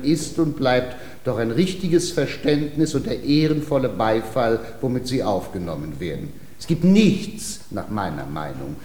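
An actor playing an earnest, high-minded dancing master with airs, speaking in a pompous, florid way in a German radio comedy. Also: male voice, dark tone, echoey room, natural voice. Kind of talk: German radio play, theatrical comedy acting.

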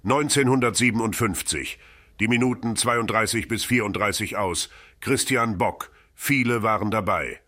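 An actor playing a synthetic stand-in voice that formally recites scripted lines in a radio drama. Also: male voice, on the low side, normal speed, pushed and bright, no echo.

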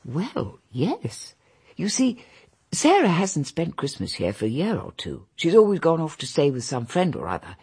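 An actress playing a genteel, anxious, tactful old English grandmother in a radio drama, with a husky, breathy voice. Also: low-pitched, wildly swooping pitch, moderate pace, dark tone, exaggerated voice. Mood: worried, confiding. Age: older.

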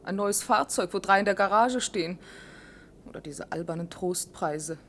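A woman's voice: on the low side, normal speed, a bit bright. A woman playing a restless, disillusioned wife in a vintage science fiction radio play. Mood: scornful. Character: restless, disillusioned wife in a forced-happiness future.

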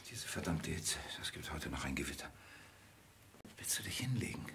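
An actor playing a brooding, detached gentleman in a radio drama, speaking reflectively and often hushed, with sudden urgency. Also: rumbling low, expressive, moderate pace, whispered. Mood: concerned. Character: brooding, detached gentleman in love with an endangered woman.

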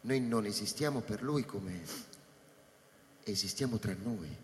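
An actor playing a sober, probing space-station colleague in a radio drama, with deliberate, halting phrases. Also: male voice, fairly low pitch, slight background noise, natural voice. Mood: detached. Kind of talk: theatrical radio drama acting. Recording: echoey hall reverb under loud music. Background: live electronic and flute music.

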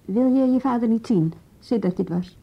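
A woman's voice: fairly high pitch, breathy edge. A woman acting in a radio drama as a frank, level-headed policewoman, speaking carefully and matter-of-factly. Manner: careful, deliberate, matter-of-fact. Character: policewoman, level-headed, frank, guarded adviser to a young suspect. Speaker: police colleague. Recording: dull radio play recording.

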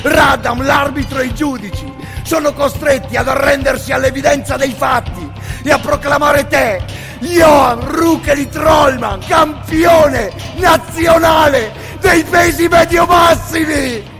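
An actor voicing an impassioned announcer-narrator proclaiming a boxing champion in a declamatory radio theatre style. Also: male voice, very high voice, vocal fry, a bit bright, raised voice, soft background music, voice pushed up, exaggerated voice. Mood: triumphant, impassioned. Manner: declamatory, drawn-out climaxes.

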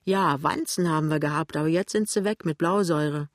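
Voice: mid-range voice, fairly quick, no echo, clean studio sound, close to an everyday voice. A reader voicing a blunt, worn-out working-class landlady in an audiobook, brusque and colloquial with a resigned edge. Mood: matter-of-fact.

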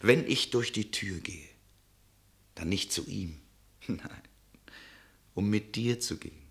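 An actor in a German radio play, playing a devoted, fatalistic traveller who pleads solemnly and intimately. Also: male voice, on the low side, slow, a bit bright. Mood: resolute, tender.

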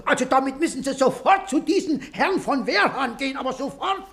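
A blustering, indignant older man in a classic radio drama. The voice is creaky and jumps up and down excitably. He stammers and repeats himself as he works himself up. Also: extremely high pitch, fairly quick, full character voice. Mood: agitated. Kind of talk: classic German radio play acting.

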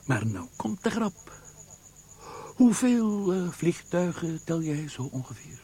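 An actor playing a boastful, scheming military man in a Dutch radio drama, speaking with smug, deliberate emphasis. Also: a little high, very animated, warm tone, breathy edge, heavily acted. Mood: teasing, smug.

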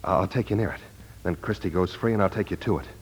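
An American actor playing a hard-boiled war veteran and ex-detective in a 1940s radio noir drama. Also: male voice, sits low, quick pace, breathy edge. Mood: urgent, bargaining. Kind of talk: 1940s radio noir acting and first-person narration. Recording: noisy 1940s radio broadcast recording.

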